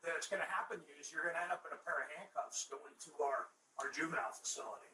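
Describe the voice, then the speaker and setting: A man's voice: on the high side, rapid-fire, pushed and bright. A man, a police deputy, speaking in a stern and blunt manner. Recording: echoey, distant bodycam with faint noise.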